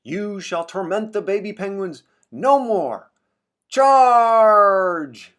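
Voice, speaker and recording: A man's voice: sits high, animated, extremely slow, fairly bright tone, pitched above the performer's own voice, exaggerated voice. A man voicing a bold, grandiose space hero in a storybook read-aloud, with a theatrical, declamatory delivery. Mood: heroic defiance.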